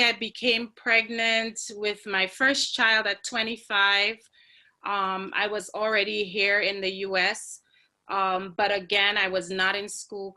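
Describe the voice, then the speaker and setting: A woman in her forties speaks over a video call, her tone bright and keen. She tells her story as a panel graduate, earnest and reflective, with many ums between thoughts. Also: mid-range voice.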